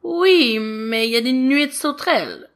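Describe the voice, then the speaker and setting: A woman's voice: high-pitched, lively intonation, moderate pace, pitched above the performer's own voice. A woman voicing a young boy angel, earnest and argumentative, in a storybook reading. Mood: objecting, eager.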